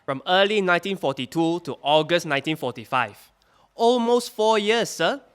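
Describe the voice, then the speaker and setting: A man playing a defensive wartime interpreter on the witness stand, speaking halting, slightly broken English as a non-native speaker. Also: high voice, lively intonation, a bit bright, smooth. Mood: explaining. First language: not English. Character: evasive wartime interpreter for military police, male, defensive witness. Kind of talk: audio drama acting.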